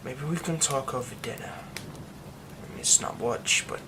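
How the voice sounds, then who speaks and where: ASMR drama: a man as a brooding young werewolf friend, hushed and slow-spoken, half-whispered lines, steady rain behind. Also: mid-range voice, background noise, close to an everyday voice. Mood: soft, eerie. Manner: hushed, often dropping to a whisper. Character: secretive young man hiding a werewolf curse. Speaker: ASMR roleplay voice actor.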